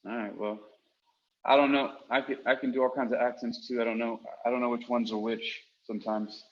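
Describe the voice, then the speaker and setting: A man's voice: mid-range voice, level tone, a little fast, lo-fi sound. A man chatting casually as a podcast moderator, laid-back and given to repeating himself. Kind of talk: casual chat.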